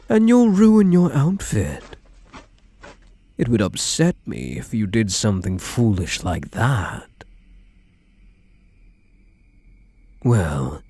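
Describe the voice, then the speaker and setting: A man acts out a possessive stalker groom for an ASMR roleplay. His voice is breathy and dark, swooping through sweet endearments that carry quiet menace. It is recorded close and dry. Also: sits low, dry recording, exaggerated voice. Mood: coldly menacing. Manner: doting endearments laced with menace. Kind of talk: ASMR roleplay audio drama, addressing the listener.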